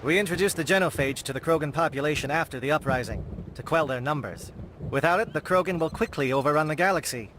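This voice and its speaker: An actor playing a stern, brisk military alien captain in a video game. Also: male voice, on the high side, background noise, close to an everyday voice. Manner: brisk, formal military delivery.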